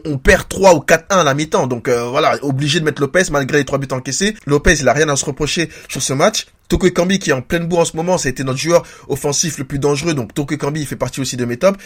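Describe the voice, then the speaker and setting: A man hosting a solo football debrief podcast, congested with a head cold and a sore throat, talking frankly and often restarting his sentences. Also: fairly high pitch. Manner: frank, repetitive restarts, stammers when tired.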